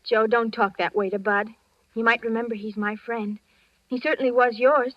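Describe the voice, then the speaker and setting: An excitable girl next door from a 1940s-style radio drama, played by a woman. Her voice is very high, effusive and earnest. The recording is dry and lacking in treble, like an old broadcast. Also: moderate pace, no room sound, lightly acted. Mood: earnest, pleading. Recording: dull, lo-fi vintage radio recording.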